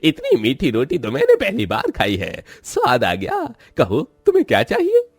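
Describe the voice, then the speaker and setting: Cartoon casting: gleeful magical dwarf, exuberant and greedy, pitched up with wild swoops. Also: male voice, huge pitch swings, normal speed, breathy edge, no echo, full character voice. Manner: cackling, exuberant. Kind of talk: animated cartoon story acting.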